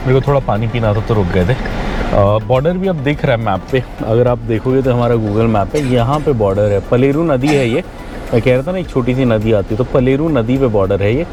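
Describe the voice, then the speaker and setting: Chatty man driving, loud road noise. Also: medium pitch, a little fast. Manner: chatty, thinking aloud with many fillers. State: slightly sore throat. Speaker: vlogger. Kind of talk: casual travel narration while driving. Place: inside a car on a highway.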